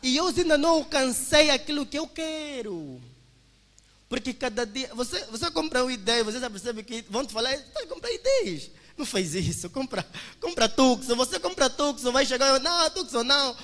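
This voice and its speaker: A man's voice: extremely high pitch, lively intonation, moderate pace, pushed and bright, big-room sound, soft background music. A young man in his twenties with an Angolan Portuguese accent, giving an emphatic motivational testimony to a church congregation. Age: 20s. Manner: emphatic, preacher-like, addresses listeners as brothers.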